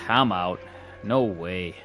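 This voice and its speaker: An actor playing a gruff, needling card player, casual and blunt, in a vintage television drama. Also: male voice, sits low, very slow, sharp tone, heavily acted. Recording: old TV soundtrack with music underneath.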